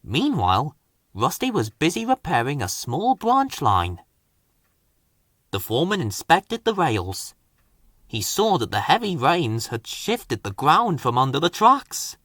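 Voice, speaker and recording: A man reading a children's storybook aloud in an expressive, dramatic way. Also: a little high, a little slow, no room sound, clean studio sound. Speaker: story narrator. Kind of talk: scripted children's storybook narration.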